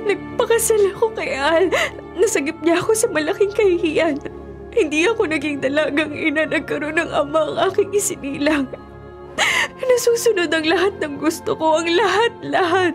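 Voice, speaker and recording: Tormented young married mother, very high voice, tearful pleading, radio melodrama, music behind. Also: normal speed. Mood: tearful, insistent. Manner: emotional, pleading, tearful delivery. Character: anguished young married mother torn by forbidden love. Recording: dramatic music under the voice.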